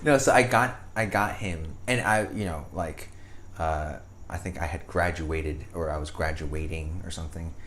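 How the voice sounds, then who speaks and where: A young man co-hosting a casual podcast, animated, swearing casually and laughing often. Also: a bass voice, moderate pace, slight background noise. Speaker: podcast host. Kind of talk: casual chat.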